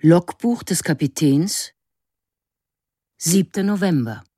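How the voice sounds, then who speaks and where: A woman's voice: lowish voice, expressive, slow pace, warm tone, husky, no room sound, clean studio sound. A woman making scripted announcements of headings in a radio drama. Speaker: announcer of chapters and log dates.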